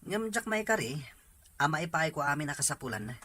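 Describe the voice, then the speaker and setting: A man's voice: fairly high pitch, sing-song, a little fast, pushed and bright, heavily acted. A young man acting an evasive, irresponsible young man in a drama serial. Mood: defensive.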